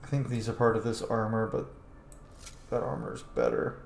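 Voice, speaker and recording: A man's voice: fairly low pitch, sing-song, normal speed, creaky, slight background noise. A man giving casual, sweary commentary as he plays a video game. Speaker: gaming streamer. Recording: roomy echo, game music behind. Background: video game music.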